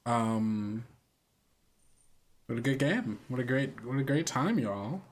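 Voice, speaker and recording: A man's voice: mid-range voice, lively intonation, slow pace. A man speaking as game master and podcast host, chatting casually and informally with players and listeners. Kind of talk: casual podcast sign-off and chat.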